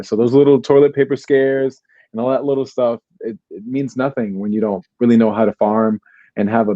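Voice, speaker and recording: A man's voice: mid-range voice, dark tone, no room sound, highs cut off. A man hosting a podcast, chatting in an easygoing way and asking long, rambling questions. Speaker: podcast host. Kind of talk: casual podcast conversation.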